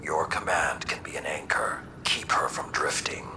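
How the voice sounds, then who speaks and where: A man playing a grave, battle-worn mentor who talks bluntly in measured, hushed tones in a video game. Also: extremely low pitch, in a whisper. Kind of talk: scripted game dialogue.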